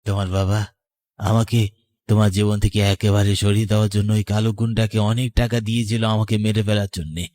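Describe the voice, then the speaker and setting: A man playing the vengeful ghost of a murdered young lover in an animated horror story, accusing and deliberate. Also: on the low side, close to an everyday voice. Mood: bitter, sorrowful.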